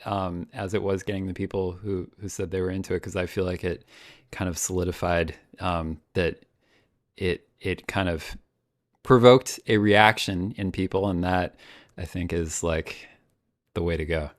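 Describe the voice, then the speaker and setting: A man's voice: deep voice, fairly even intonation, normal speed, no room sound, studio quality. A man as a podcast guest, chatting casually, laughing often and hesitating with many ums. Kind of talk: casual chat.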